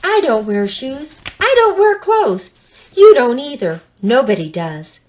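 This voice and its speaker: Woman voicing a high, indignant little skink. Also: big pitch swings, a little slow, darkish tone. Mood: earnest, pleading. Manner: exclaiming, protesting. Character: small earnest male skink, shy yet indignant.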